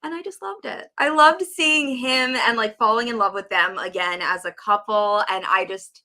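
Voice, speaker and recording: A woman's voice: mid-range voice, normal speed, pushed and bright. A woman co-hosting a book discussion, talking in an informal, enthusiastic, conversational way. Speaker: book review show co-host. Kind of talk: casual chat about books.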